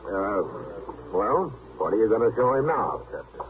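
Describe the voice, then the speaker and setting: An American man acting a steady, dryly amused frontier marshal in an old-time western radio drama, unhurried and a little hesitant. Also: on the low side, natural voice. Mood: dryly probing. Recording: muffled, noisy vintage radio recording.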